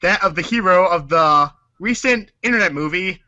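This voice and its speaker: Bright-voiced goofy man, muffled recording. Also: high voice, lively intonation, moderate pace, no room sound. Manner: goofy, stumbles through read lines. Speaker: actor in a reader's theater show. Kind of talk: comic table read with ad-libs.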